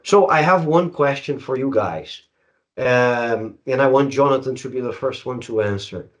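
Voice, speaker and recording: Man, middle-aged, from Brazil, native Portuguese speaker, podcast host and teacher, emphatic storyteller, medium pitch. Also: highs cut off. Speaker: English teacher. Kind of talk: conversational storytelling and interviewing.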